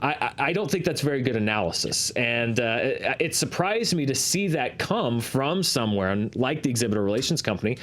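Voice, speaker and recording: A man with a mid-pitched voice hosts an entertainment chart show. He explains things conversationally, in a measured and analytical way, like a commentator walking a co-host through the details. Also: lively intonation, a bit bright. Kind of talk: conversational box office commentary.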